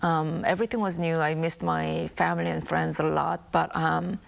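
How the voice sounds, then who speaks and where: A woman's voice: deep voice, flat delivery, moderate pace, gravelly. A woman in her thirties from South Korea with a Korean accent, answering interview questions thoughtfully with many fillers and restarts. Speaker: filmmaker guest. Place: broadcast studio.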